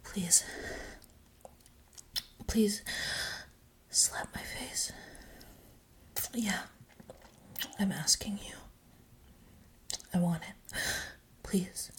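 A young woman speaking in a breathy, intimate whisper with soft sighs, close to the microphone. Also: mid-range voice, extremely slow, long pauses. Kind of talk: whispered audio roleplay.

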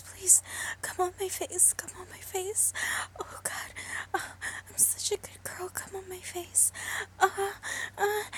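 A woman performing adult audio roleplay, pleading in frequent whispers with trembling, breathy sighs. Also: extremely high pitch.

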